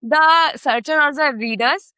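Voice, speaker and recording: A woman appears as a podcast guest, talking in a fairly high voice. She explains her work through anecdotes and often checks in with "okay?" and "right?". Her voice sounds close and dry on the mic. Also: unhurried, pushed and bright, no room sound. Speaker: podcast guest, content marketer. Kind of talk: podcast interview.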